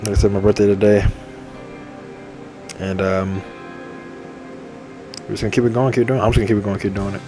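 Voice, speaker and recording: Young man in his twenties, low voice, upbeat rambling gamer talk, faint game music under it. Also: level tone, fairly quick, long pauses. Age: 20s. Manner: repetitive, upbeat, enthusiastic shout-outs. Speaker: gaming channel host. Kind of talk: rambling casual monologue over game menu. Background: faint game menu music.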